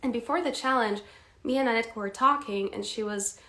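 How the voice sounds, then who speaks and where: Lively woman coaching on a live stream. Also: on the high side, a little fast. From Poland. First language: Polish. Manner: lively, laughs often, addresses viewers directly. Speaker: English accent coach. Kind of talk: live-stream teaching chat. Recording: echoey room.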